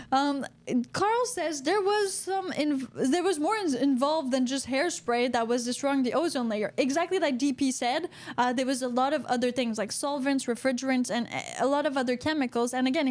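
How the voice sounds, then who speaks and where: A woman, co-hosting a casual podcast, chatty and quick to laugh while reading out viewer comments. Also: high voice. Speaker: podcast co-host. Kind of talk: casual livestream podcast chat. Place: Vancouver, Canada.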